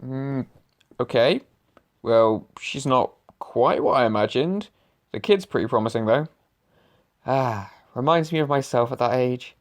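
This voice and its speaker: A man's voice: medium pitch, lively intonation, measured pace, lightly acted. Man playing pompous ghost novelist. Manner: pompous, dithering. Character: pompous dead male novelist, now a ghost. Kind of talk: amateur audio drama.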